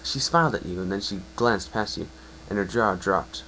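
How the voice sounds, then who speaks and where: A man's voice: fairly low pitch, bright, forceful tone, slight background noise, low-quality recording. A man narrating a fan story as an amateur reader, often stumbling and correcting himself. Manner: halting, self-correcting delivery.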